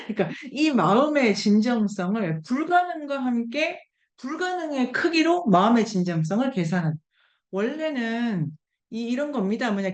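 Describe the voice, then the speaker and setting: A woman's voice: mid-range voice, darkish tone. A woman giving a conversational humanities lecture, acting out quoted remarks as she explains.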